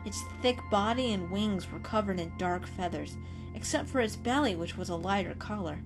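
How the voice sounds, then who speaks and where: A woman's voice: mid-range voice, expressive, moderate pace, low-quality recording. Woman reading a dramatic story over music.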